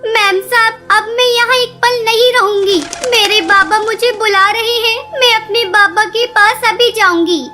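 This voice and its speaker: A woman's voice: very high-pitched, fairly even intonation, measured pace, few pauses, music in the background. A woman voicing a small, sad young girl bird who pleads tearfully. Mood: pleading.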